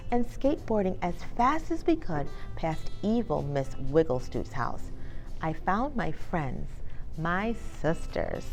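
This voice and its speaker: A woman host reading a children's book aloud in an animated, expressive storytelling manner. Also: mid-range voice. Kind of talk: expressive storybook read-aloud with casual introduction. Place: inside a bookstore.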